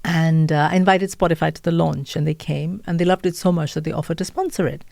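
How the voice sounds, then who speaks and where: A woman's voice: deep voice, fairly even intonation, quick pace, gravelly, a little mellow, no room sound. A middle-aged woman with an Indian accent, a podcaster and author telling her story earnestly in an interview. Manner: earnest storytelling with listing and self-talk quotes. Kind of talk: candid interview conversation.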